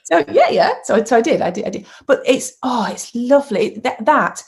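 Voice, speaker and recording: A middle-aged woman, a singing teacher and choir leader, chatting in an animated way and laughing often. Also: on the high side, fairly quick, creaky. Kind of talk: casual conversation.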